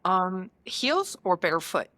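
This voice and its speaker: A young woman with a French Canadian accent, hosting a podcast and asking quick, upbeat questions. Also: on the low side, big pitch swings, bright, forceful tone, no echo. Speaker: podcast host. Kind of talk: quick-fire interview questions.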